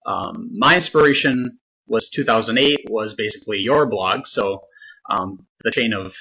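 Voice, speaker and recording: Bright-toned man on a lo-fi podcast. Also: fairly low pitch, normal speed, gravelly, husky. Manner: laid-back, laughs often, short backchannel replies. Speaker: podcast co-host. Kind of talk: casual chat.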